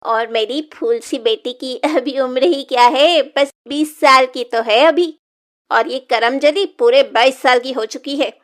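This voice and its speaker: Woman acting a cruel high-voiced cartoon stepmother. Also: measured pace. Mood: scornful. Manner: harsh, taunting, threatening. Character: cruel, scolding middle-aged village stepmother. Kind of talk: Hindi moral-story cartoon dubbing.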